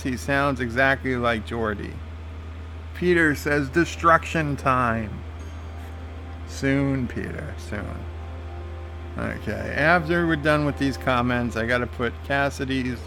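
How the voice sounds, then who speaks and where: A man's voice: medium pitch, fairly bright tone, a little hiss or hum. A man streaming a game, reading viewer comments aloud and answering them casually, with lots of "uh" fillers.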